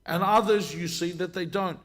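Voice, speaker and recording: A man's voice: high-pitched, moderate pace, fairly bright tone. A man in his thirties, a sheikh, answering questions and telling stories in a live discussion. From Lebanon. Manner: conversational, storytelling, many restarts. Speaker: sheikh, guest speaker.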